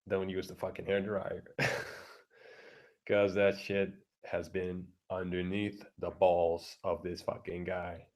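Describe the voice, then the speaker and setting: Deep-voiced man ranting quietly. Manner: keeps his voice down, talking quietly; crude, blunt, heavy swearing. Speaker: vlogger. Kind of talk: casual profane rant. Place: home room with neighbors through the walls.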